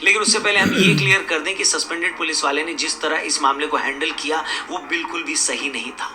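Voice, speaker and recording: A man's voice: fairly high pitch, fast talker, music in the background. A man presenting a scripted news explainer in an emphatic, argumentative way. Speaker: video presenter.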